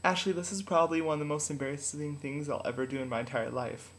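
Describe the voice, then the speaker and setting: Teen guy vlogging on his webcam, kinda high voice, roomy echo, bit of hiss. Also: quick pace. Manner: energetic, animated, laughs often. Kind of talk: casual fan vlog. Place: home room, indoors.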